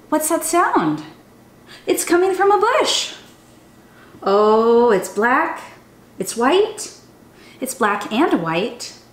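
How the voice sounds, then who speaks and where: Animated, high-voiced young woman reading to children. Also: very slow, long pauses. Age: young adult. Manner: animated, sing-song, addressing children directly with questions. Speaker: children's storytime teacher and host. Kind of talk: expressive picture-book read-aloud for young children. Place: small room indoors. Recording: roomy indoor echo.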